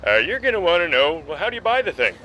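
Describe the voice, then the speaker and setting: An actor playing a rambling online car-tutorial host who keeps drifting off topic. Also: male voice, high voice, very animated, fast talker, noisy background. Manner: rambling, digressive, filler-heavy.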